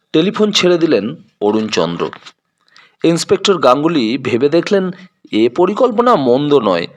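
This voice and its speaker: A man narrating a scripted detective audio story in a dramatic storytelling style. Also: on the high side.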